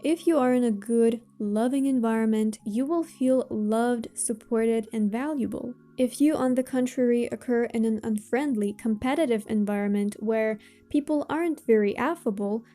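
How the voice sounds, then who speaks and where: A woman with a Russian accent, a native Russian speaker, gives motivational advice straight to viewers in measured, deliberate phrases with emphatic pauses. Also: a little high, mellow, soft background music. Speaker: host of a self-improvement channel.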